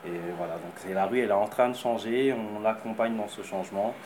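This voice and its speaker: A man, an investor behind a concept store, answering interview questions in quick, repetitive, stumbling talk. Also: fairly low pitch, a little mellow, a little hiss or hum.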